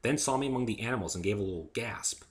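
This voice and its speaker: A man's voice: fairly low pitch, quick pace. A man reading a first-person story aloud as a dramatic narrator.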